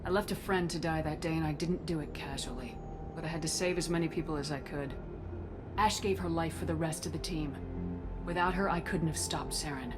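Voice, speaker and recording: An actress portrays a resolute military commander in a video game. Her low female voice is firm and no-nonsense, that of a principled leader. Music is clearly heard behind it. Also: a little fast, lightly acted. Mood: resolute, sober. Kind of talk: video game cutscene acting.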